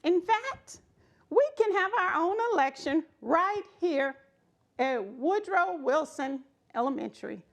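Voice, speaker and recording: A woman voicing an encouraging female elementary school teacher in a storybook, speaking in a measured, emphatic, instructive way. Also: high voice, lively intonation, vocal fry, voice pushed up, exaggerated voice.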